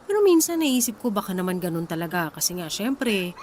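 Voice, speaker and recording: A woman's voice: mid-range voice, big pitch swings, fairly quick, a little mellow, slight background noise, lightly acted. An actress playing an anxious, suspicious pregnant wife who downplays her worries in a Filipino radio drama, with a casual, emotional delivery. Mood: anxious, doubtful. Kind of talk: Tagalog radio drama acting.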